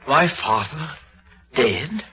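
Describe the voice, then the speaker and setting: A man playing a shaken, hesitant young bereaved son in an old-time radio drama, speaking haltingly. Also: mid-range voice, very animated, bright, forceful tone, exaggerated voice. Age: young adult. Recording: dull old radio recording.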